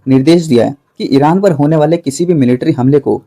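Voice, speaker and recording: Dark-toned, creaky male news narrator. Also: a little high, fast talker.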